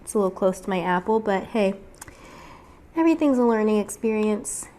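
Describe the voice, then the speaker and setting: A woman's voice: medium pitch, lively intonation, fairly quick, dark tone. A woman with a weak voice from getting over a cold, chatting casually through a step-by-step crafting tutorial with frequent fillers.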